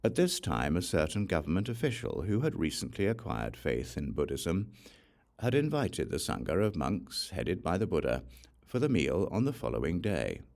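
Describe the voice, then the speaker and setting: A man reading scripture as an audiobook, narrating in a measured, deliberate storytelling way. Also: sits low, big pitch swings, gravelly, darkish tone. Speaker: audiobook reader.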